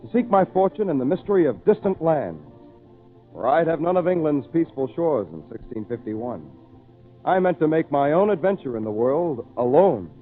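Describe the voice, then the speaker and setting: An actor playing an earnest, naive young English adventurer in a theatrical old-time radio drama. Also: male voice, fairly high pitch, very animated, moderate pace, faint music behind. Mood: yearning. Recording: noisy, tinny old radio recording.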